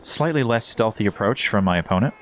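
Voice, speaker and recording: Very low-voiced, mock-dignified man. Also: brisk, faint music behind. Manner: mock-dignified, formal, deadpan. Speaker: gaming show co-host and player. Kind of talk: hushed golf-commentary parody.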